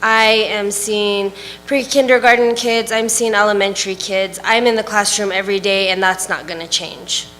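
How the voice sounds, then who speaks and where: A woman's voice: medium pitch, fairly even intonation, moderate pace, fairly bright tone, big-room sound, slight background noise, low-quality recording. A woman school board candidate answering a question at a public forum, chatty and repeating her phrases.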